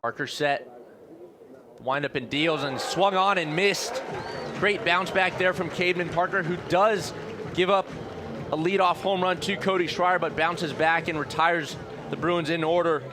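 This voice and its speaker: A man doing live radio baseball play-by-play as the announcer, excitable on big plays with drawn-out calls. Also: fairly high pitch. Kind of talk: live sports commentary. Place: outdoor baseball stadium broadcast. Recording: loud crowd and stadium noise behind. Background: crowd, ballpark ambience.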